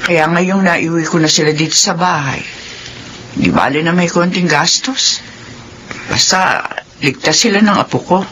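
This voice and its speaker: Casting: exasperated Filipino grandparent, high-pitched, sharp, protective, radio drama. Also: male voice, a little slow, a little hiss or hum. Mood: relieved.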